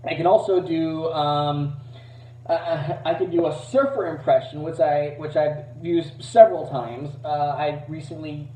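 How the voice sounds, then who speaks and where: A man's voice: fairly even intonation, unhurried, mellow, a little hiss or hum. A man giving a casual, rambling demo of voices as an amateur impressionist, hesitant and self-deprecating. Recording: roomy, distant, dull low-fidelity mic.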